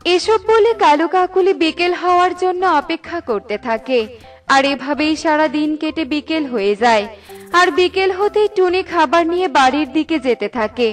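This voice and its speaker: A woman narrator tells a scripted children's story. Her voice is high, with music playing behind it. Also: moderate pace, distant mic.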